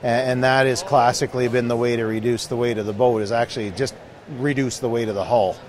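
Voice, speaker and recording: A man in his thirties explains his product in an earnest, steady way, sounding a little worn down at the end of a long trade show. His voice sits at a middle pitch, and a handheld mic is held close to him at the booth. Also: fast. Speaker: canoe company owner. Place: busy indoor expo hall booth. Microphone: handheld mic held by interviewer.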